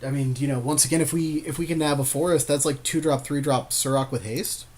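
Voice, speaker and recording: A man's voice: fairly high pitch, steady tone, brisk, darkish tone. A man co-hosting a gaming podcast, talking casually through his card plays in loose, slangy conversation. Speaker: podcast co-host playing a card game. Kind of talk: casual game commentary chat.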